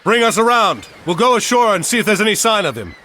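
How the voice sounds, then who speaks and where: An actor playing a resolute, commanding heroic war leader in a video game cutscene, speaking with urgency. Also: male voice, sits high, very animated, quick pace, background noise. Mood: urgent. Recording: loud music behind the voice.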